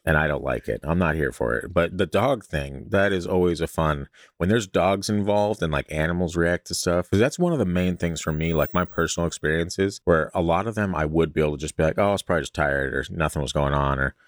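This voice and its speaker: A man's voice: sits low, a little fast, few pauses, no room sound, clean studio sound. A man hosting a podcast, chatting casually and reading stories aloud in an informal way with joking asides. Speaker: podcast host.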